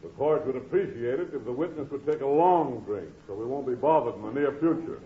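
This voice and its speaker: An actor playing a dry, stern judge with formal authority in a 1950s radio comedy. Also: male voice, mid-range voice, fairly quick, muffled recording, slight background noise, close to an everyday voice. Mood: dryly impatient. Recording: thin old radio recording.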